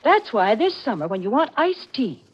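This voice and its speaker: A woman's voice: fairly high pitch, very animated, normal speed, slightly rough. A woman playing a cheerful American sponsor's spokeswoman, selling in chipper 1940s radio advertising style. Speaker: commercial spokeswoman. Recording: muffled vintage radio recording.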